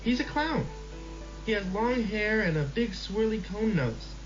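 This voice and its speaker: A man reading a story and voicing a quiet five-year-old boy with a raised, childlike pitch, over a slightly dull recording with music behind. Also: lively intonation, unhurried. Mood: matter-of-fact.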